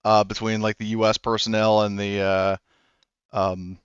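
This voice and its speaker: A man acting as game master in a tabletop game, talking with frequent pauses and fillers. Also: fairly low pitch, level tone, smooth, dry recording, muffled recording. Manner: hesitant, lots of fillers and restarts.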